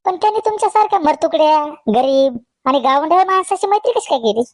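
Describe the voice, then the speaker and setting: Woman playing very high-pitched cheeky village boy. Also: lively intonation, fast talker, darkish tone, dry recording. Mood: teasing amazement. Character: cheeky, curious, gullible village youngster Pappya, a boy. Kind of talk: comedy cartoon dialogue.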